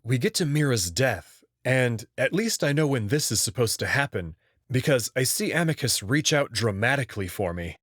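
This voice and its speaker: Lively man reading a story aloud. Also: mid-range voice, no echo, clean studio sound. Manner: expressive first-person reading, with outbursts. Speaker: visual novel reader. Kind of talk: reading a visual novel aloud.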